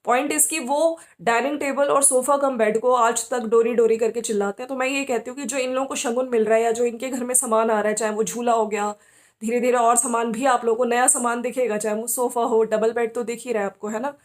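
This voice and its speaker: A woman's voice: fairly high pitch, fairly even intonation, fairly quick. A woman hosts a commentary video. She talks conversationally and gives her opinions, often adding small tags to check that listeners follow. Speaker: YouTube commentary host. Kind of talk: informal opinion commentary on family vloggers.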